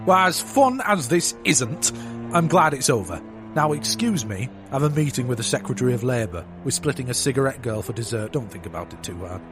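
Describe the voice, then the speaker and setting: A man's voice: mid-range voice, sing-song, a little fast, music in the background, natural voice. A man playing a pompous, callous whaling tycoon, crude and smug, in a blustering, dismissive comedy performance. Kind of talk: comedic audio drama acting.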